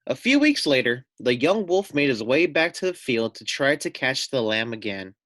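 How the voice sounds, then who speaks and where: A man's voice: mid-range voice, big pitch swings, normal speed, fairly bright tone, dry recording. A man reading a children's story aloud like a teacher, expressively, with asides to his listeners. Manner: addresses listeners with questions and asides.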